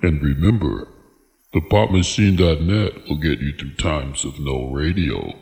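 A man with an extremely low, gravelly voice reads a radio promo. A long, cavernous reverb trails his announcer delivery. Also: expressive, measured pace, darkish tone. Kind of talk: scripted radio station promo.

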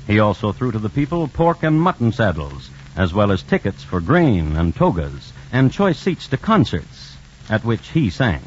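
Low, lively, wry radio crime narrator. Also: male voice, a little hiss or hum. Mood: wry, measured. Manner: wry, dry, measured. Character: wry, erudite male connoisseur of crime, storyteller. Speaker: host and narrator. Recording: muffled old radio recording.

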